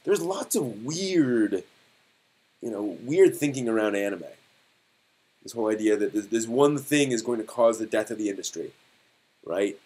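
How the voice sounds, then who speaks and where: A man hosting a casual live stream discussion, thinking aloud in a halting way and posing rhetorical questions. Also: on the low side, lively intonation, a little mellow, low-quality recording.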